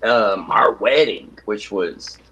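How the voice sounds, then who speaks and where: A man with a strong Tennessee accent, telling relaxed, rambling stories in a casual podcast chat. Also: lowish voice, slow. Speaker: guest.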